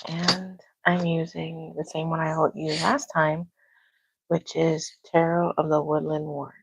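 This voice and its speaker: A soft-spoken woman reading tarot on a casual livestream at home, talking quietly to herself. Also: low voice, flat delivery, measured pace, creaky, husky, no room sound, poor audio. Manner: talks to herself, quiet and musing. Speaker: tarot reader. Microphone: clip-on microphone.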